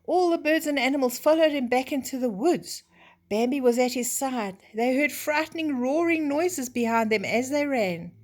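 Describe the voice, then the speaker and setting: Animated older woman reading a storybook. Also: sits high, normal speed. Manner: explains things simply to young listeners. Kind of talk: expressive children's storybook reading with chatty asides.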